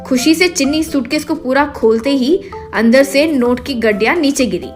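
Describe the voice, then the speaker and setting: A woman reading scripted narration for an animated children's moral story. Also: high-pitched, moderate pace, faint music behind.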